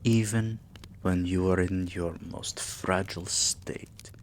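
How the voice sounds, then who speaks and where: A man playing a tender, possessive immortal vampire king in an intimate ASMR roleplay, murmuring softly and often sinking into a whisper with regal, doting care. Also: deep voice, steady tone, a little hiss or hum. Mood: soft, loving. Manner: slow, hushed, breathy murmur, often dropping to a whisper.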